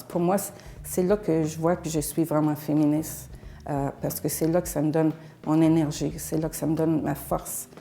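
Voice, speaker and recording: An older woman from Alberta, English-speaking by birth, speaks as a feminist activist. Her voice is low, dark and gravelly, with a mellow warmth. She talks in earnest, halting phrases with pauses between them. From Alberta, Canada. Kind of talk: personal testimony.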